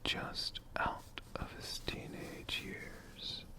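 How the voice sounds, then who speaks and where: A man telling a story in a hushed ASMR whisper, in short halting phrases with long pauses between them. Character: friendly storytelling dragon.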